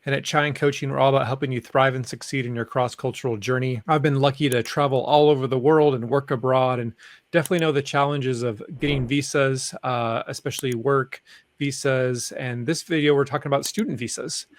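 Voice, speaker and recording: A man's voice: mid-range voice, steady tone, a little fast. A man hosting an interview over a video call, greeting viewers and asking questions in an upbeat way. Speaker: host.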